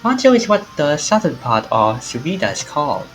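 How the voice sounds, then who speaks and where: A man's voice: on the high side, big pitch swings, moderate pace, soft background music. A man voicing a playful, eager young woman who hunts occult legends in a visual novel.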